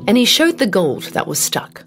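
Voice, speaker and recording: A woman narrates a children's tale in an expressive, dramatized way. Her pitch moves around a great deal, and music plays clearly behind her voice. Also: normal speed. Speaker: storyteller narrator. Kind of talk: scripted children's story narration.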